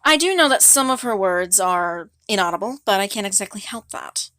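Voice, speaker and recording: A woman's voice: medium pitch, lively intonation, moderate pace, pushed and bright, no room sound, studio quality. A woman who runs a channel, giving a casual spoken announcement to her viewers. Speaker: channel creator.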